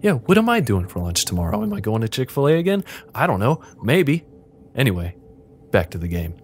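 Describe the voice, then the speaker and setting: A man's voice: fairly low pitch, sing-song, brisk, slight background noise. A man hosting a podcast as game master, mixing casual chat with dramatic interactive fiction narration.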